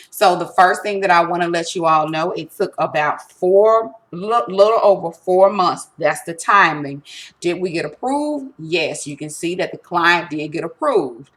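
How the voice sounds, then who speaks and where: A woman's voice: lowish voice, fairly bright tone. A woman presenting an informal step-by-step tutorial, instructive and emphatic, often checking that listeners follow. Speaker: resource center presenter giving a tutorial.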